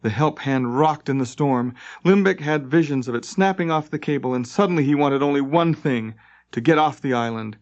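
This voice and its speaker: A man's voice: mid-range voice, moderate pace, a little mellow. Man, audiobook narrator, dramatic storytelling, lo-fi muffled recording. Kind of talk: scripted dramatic fantasy narration. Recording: dull lo-fi tape transfer.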